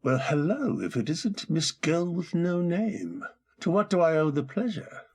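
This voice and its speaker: A man's voice: on the high side, very animated, a little slow, darkish tone, husky, full character voice. A man playing a brooding gallant widower. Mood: teasing. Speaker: radio drama actor. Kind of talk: old-time radio drama acting.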